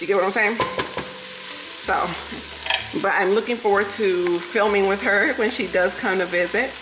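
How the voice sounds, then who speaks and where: A woman's voice: medium pitch, normal speed, reverberant, noisy background. Chatty New York woman cooking at home. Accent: New York with a Southern lilt. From New York City. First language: Spanish. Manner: chatty, laughs often, talks to viewers as "y'all". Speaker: vlogger. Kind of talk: casual chat while cooking. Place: home kitchen with food frying.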